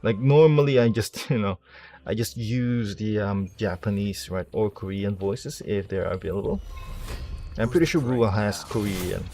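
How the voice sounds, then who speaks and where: A man's voice: fairly low pitch, moderate pace, dark tone. A man playing a video game and commentating casually, rambling and thinking aloud in a self-deprecating way. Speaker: streamer, game player.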